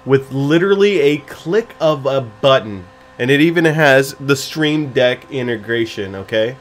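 A man's voice: medium pitch, animated, unhurried. A man in his thirties giving a casual, playful screen-recorded streaming tutorial in a goofy, chatty way. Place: small home room with gaming setup, indoors.

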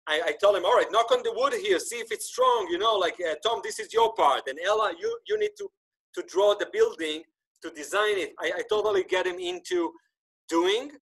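A man in his thirties whose first language is Hebrew, hosting a podcast over a video call, talking with animated gestures and frequent restarts. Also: high-pitched, fairly quick, slightly rough, low-quality recording. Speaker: podcast host. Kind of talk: casual interview chat. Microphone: video call webcam.

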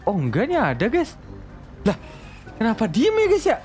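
A man's voice: extremely high pitch, huge pitch swings, moderate pace, darkish tone, faint music behind. A man with a colloquial Jakarta accent doing casual, joking, teasing gameplay commentary for viewers in slangy speech. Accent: Jakarta-style colloquial Indonesian. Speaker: gaming video creator commentating for viewers.

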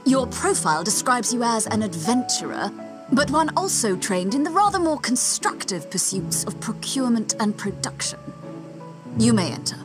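An actress playing a stern, formal registration official in a video game, with a clipped, disapproving manner. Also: female voice, fairly high pitch, very animated, moderate pace, music in the background. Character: stern, formal female registration official, small bespectacled elf-like scholar. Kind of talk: video game cutscene acting.

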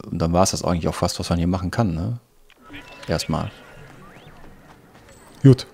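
A man's voice: sits low, normal speed, gravelly, warm tone, slight background noise. A man giving casual gameplay commentary, thinking aloud in an offhand, colloquial way. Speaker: let's play host.

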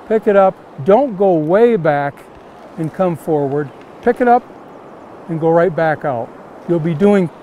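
A man's voice: sits high, a little mellow, slight background noise. An older man teaching fly casting outdoors while standing in a river, giving plain, repetitive step-by-step instructions.

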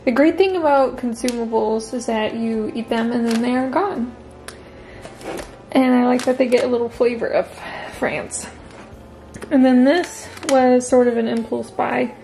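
A woman's voice: a little high, a little slow, dark tone, a little hiss or hum, low-quality recording. A woman chatting casually in an upbeat way as she narrates a home vlog. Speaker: vlogger, host. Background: music.

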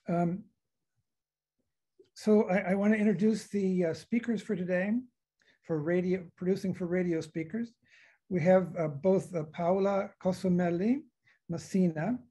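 A man in his sixties hosts an event over a video call from his office. His voice is high, soft-edged and husky. He reads formal opening remarks and often halts to find the next word. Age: 60s. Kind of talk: formal introductory remarks read from notes. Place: office with bookshelves, indoors. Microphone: video call computer microphone.

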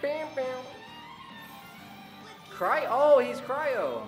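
A woman's voice: high-pitched, sing-song, extremely slow. A woman hosting a reaction video with casual, playful, slangy commentary full of exclamations. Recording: echoey room, loud music behind.

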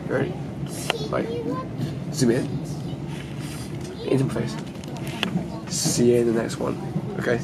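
A man's voice: medium pitch, level tone, takes it slowly, gravelly, long pauses, very noisy. A young man from England, casually signing off a vlog into a handheld camera held close to his face, in a small room at night. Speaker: vlogger.

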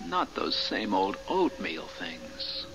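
An actor playing a mild, hesitant, newly dead husband as a ghost in a 1970s radio drama. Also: male voice, low-pitched, big pitch swings, slow pace, music in the background, full character voice, low-quality recording. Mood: wistful. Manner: plain, unassuming. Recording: slightly hissy 1970s radio recording.

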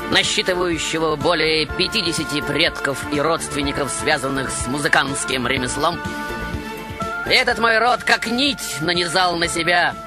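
A man acts out a grandiose Baroque composer recounting his own life as a radio show monologue. The voice sits fairly high and is dramatic and ironic, with pointed pauses. Music plays prominently underneath. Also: close to an everyday voice. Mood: dramatic storytelling.

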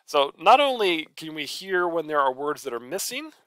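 A man's voice: fairly high pitch, very animated, moderate pace, bright, forceful tone, smooth, dry recording. A man giving a casual screencast tutorial, talking informally through his steps aloud. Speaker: college professor and author giving a software tutorial.

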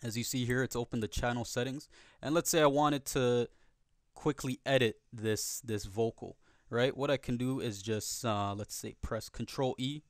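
A man narrating a software tutorial casually and conversationally, often checking in with the listener, recorded as a close voice-over. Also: medium pitch, fairly even intonation, normal speed, dry recording. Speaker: tutorial host.

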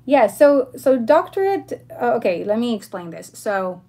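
A woman's voice: a little high, very animated, measured pace, mellow. Chatty woman from Argentina, livestreaming. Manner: informal, chatty, friendly address to viewers. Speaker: livestream host. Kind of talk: casual livestream chat, answering viewer questions. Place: bedroom at home, indoors.

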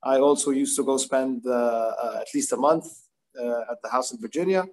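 A man's voice: medium pitch, moderate pace, darkish tone. A man in his forties giving an informal personal remembrance on a video call, with hesitant, thoughtful phrasing. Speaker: guest, grandson giving remembrance. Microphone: video call device microphone.

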